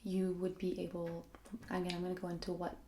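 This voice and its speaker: A young woman explaining a topic in a soft, mostly whispered ASMR style, rambling with fillers and self-corrections. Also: fairly low pitch, completely flat delivery, a little fast. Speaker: vlogger.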